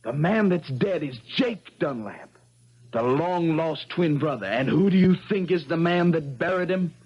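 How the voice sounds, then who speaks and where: An actor playing an earnest, dogged American country lawyer in a 1970s radio drama, husky and folksy, with dramatic insistence. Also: male voice, on the high side, animated, a little slow, dull audio. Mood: triumphant, insistent.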